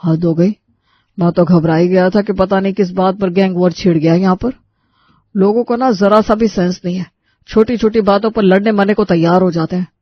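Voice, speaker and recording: A woman's voice: mid-range voice, steady tone, normal speed, no echo, muffled recording, close to an everyday voice. Woman voicing an exasperated young family man. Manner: exasperated, explanatory. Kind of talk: animated story dialogue.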